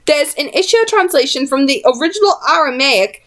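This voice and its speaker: A woman's voice: high-pitched, lively intonation, fairly quick, a bit bright, pitched above the performer's own voice. A woman voicing an earnest, pedantic man who eagerly corrects small details. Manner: pedantic, baffled. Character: earnest, pedantic young husband.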